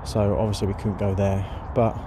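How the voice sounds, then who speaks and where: A man chatting casually by a lake about carp fishing, rambling with plenty of fillers, his voice going hoarse. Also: on the low side, level tone, fairly quick. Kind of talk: casual vlog chat to camera. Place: outdoors by a lake. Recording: noisy outdoor recording.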